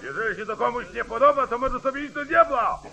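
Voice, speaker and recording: An actor playing a brutal, domineering manhunt boss who barks threatening commands, in an old Polish radio drama. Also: male voice, very high-pitched, fast, creaky, background noise. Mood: angry, commanding. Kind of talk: mid-century Polish radio drama acting. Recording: old 1940s radio recording, crowd.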